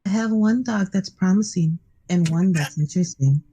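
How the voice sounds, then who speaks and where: Woman acting composed female squad leader, controlling, dark mellow tone, husky, fan dub. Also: on the low side, normal speed. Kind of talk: amateur live fan dub of anime.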